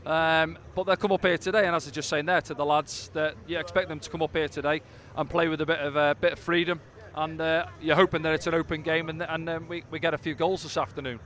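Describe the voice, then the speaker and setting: A man from Wales, a former player, chatting casually as a pre-match pundit at pitchside, full of fillers and upbeat. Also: flat delivery, fast, few pauses, fairly bright tone. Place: outdoors pitchside at a stadium.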